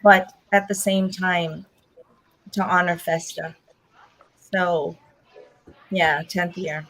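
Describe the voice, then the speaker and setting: A woman's voice: lowish voice, steady tone, takes it slowly, a bit bright, poor audio. A woman hosting a casual video call chat, rambling and restarting her sentences. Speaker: host.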